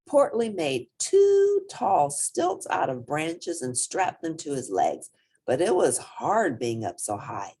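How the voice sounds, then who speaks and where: A woman storyteller reads a picture book expressively. Her voice is a little low and husky, with very wide swoops of pitch. She stresses words dramatically for young listeners. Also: slow pace. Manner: animated, dramatic emphasis. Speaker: children's storyteller.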